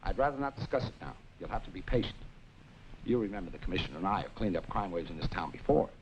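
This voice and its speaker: Confident crime-fighting attorney, low register, guarded, slightly hissy 1940s serial sound. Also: male voice, animated, quick pace. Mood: assured. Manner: self-assured, guarded. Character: confident, unflappable male district attorney hero. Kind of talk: 1940s film serial acting. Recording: noisy 1940s film soundtrack.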